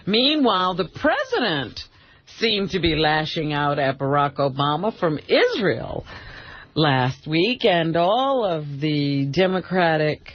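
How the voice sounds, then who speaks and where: A man's voice: a little high, sing-song, slow. A man reading the news on a radio show, often breaking into laughter. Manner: breaks into laughter mid-sentence. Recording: dull radio broadcast audio.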